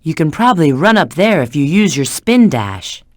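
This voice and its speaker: Confident, expressive bat-girl spy. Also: sits low, moderate pace, no echo, studio quality. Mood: cool, instructive.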